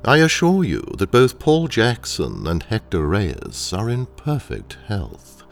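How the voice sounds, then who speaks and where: An actor playing a ruthless corporate trainer in an audio drama, speaking with measured, polite and condescending menace. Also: male voice, fairly low pitch, huge pitch swings, creaky, soft background music, heavily acted. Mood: calm, reassuring menace.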